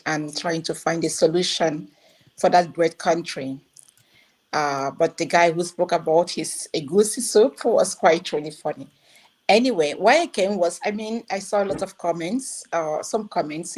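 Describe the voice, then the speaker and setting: A woman's voice: on the low side, darkish tone. A woman from Nigeria who lives in Germany, calling in to a talk show and firmly defending her accent, in earnest. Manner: earnest, firm, defending herself. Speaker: caller. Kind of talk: talk-show call-in, speaking English and German.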